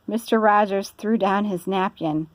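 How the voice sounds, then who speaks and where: Lady reading a kids book out loud, informal, medium pitch, no room echo. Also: a little slow. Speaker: storybook reader. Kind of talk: children's story read aloud, informal.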